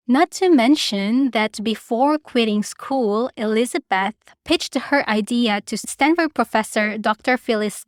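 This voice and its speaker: A woman's voice: a little high, no echo, studio quality. A woman hosting a podcast, giving chatty, opinionated commentary and speaking casually straight to listeners. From Philippines. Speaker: podcast host.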